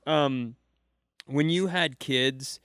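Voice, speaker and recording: Smooth-voiced man rambling questions. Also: mid-range voice, extremely slow, fairly bright tone, no room sound, clean studio sound. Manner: rambling, playfully needling questions. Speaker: podcast host. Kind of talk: casual comedic chat. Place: comedy club stage, live podcast.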